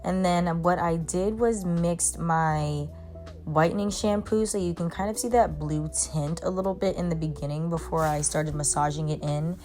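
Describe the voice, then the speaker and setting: A woman's voice: fairly low pitch, darkish tone. Chatty young woman groomer vlogging. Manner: chatty, talks to dogs in baby talk. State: congested. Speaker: mobile pet groomer. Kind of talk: casual vlog narration. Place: mobile grooming van.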